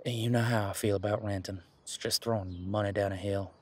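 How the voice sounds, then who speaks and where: A man's voice: lowish voice, steady tone, brisk, natural voice. A man playing an easygoing, protective werewolf in an audio roleplay, talking in an informal, frank and chatty way. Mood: earnest, reassuring. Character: easygoing, protective male werewolf, imposing but responsible. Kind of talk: casual conversational audio roleplay monologue.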